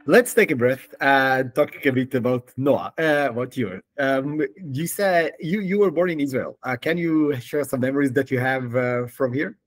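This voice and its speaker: A man's voice: mid-range voice, moderate pace, hardly any pauses. A man hosts a podcast and puts short, plain questions to his guest. He keeps his questions brief and simple. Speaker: podcast host. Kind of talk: interview questions.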